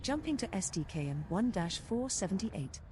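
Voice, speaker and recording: A woman's voice: medium pitch, big pitch swings, normal speed, noisy background, close to an everyday voice. A woman voicing a precise, helpful spaceship computer copilot in a game, giving articulate status reports. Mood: precise, informative. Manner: articulate, informative. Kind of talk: scripted status announcements.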